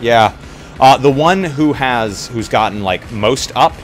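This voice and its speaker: A man chatting casually as a tabletop roleplay player, thinking out loud and swearing as he reacts. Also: mid-range voice, expressive, slow, faint music behind. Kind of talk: casual game chat.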